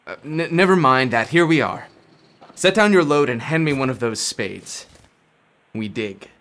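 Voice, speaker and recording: Horror radio play: driven occult scholar, pompous, formal, patronizing, medium-pitched voice. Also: male voice, animated, unhurried, fairly bright tone. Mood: excited. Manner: grandiose, condescending, formal old-fashioned speech. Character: Warren, driven, condescending occult scholar obsessed with forbidden knowledge. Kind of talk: horror radio drama acting.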